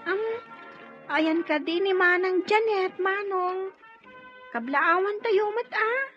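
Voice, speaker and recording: A woman's voice: very high-pitched, takes it slowly. A woman with an Ilocano Filipino accent playing a cheerful, eager young little sister in an audio drama. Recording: dull lo-fi audio with music.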